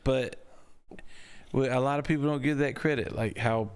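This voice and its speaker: A man's voice: medium pitch, fairly even intonation, moderate pace, clean tone. A man hosting a casual comedy podcast, joking loosely with stop-start phrasing and sometimes dropping to a whisper for a bit. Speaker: podcast host.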